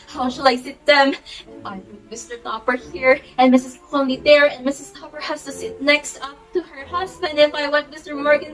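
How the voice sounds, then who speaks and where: A woman's voice: high voice, close to an everyday voice, rough recording. A teenage girl playing an imperious, easily exasperated society hostess in an amateur play, with a haughty, melodramatic delivery. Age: teens. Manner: melodramatic, haughty, repetitive exclamations. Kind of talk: amateur stage-play acting.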